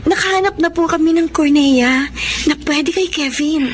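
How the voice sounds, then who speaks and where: Actress as high-voiced, long-suffering devoted wife. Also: female voice, normal speed, a little hiss or hum, natural voice. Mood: polite, hopeful. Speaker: radio drama actor. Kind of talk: Filipino radio drama acting.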